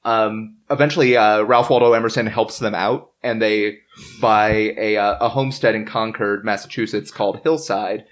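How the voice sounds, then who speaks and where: Halting, jokey man hosting a podcast. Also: lowish voice, fairly even intonation. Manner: halting, jokey, laughs often. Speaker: podcast host. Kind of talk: casual chat, retelling a life story. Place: in-person table recording.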